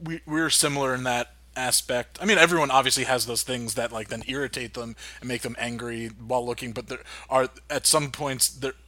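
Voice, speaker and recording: A man's voice: mid-range voice, steady tone, brisk, hardly any pauses, sharp tone, no room sound. A man co-hosting a podcast, chatting casually in an agreeable, rambling way that often stumbles over his words. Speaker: podcast co-host. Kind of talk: casual chat.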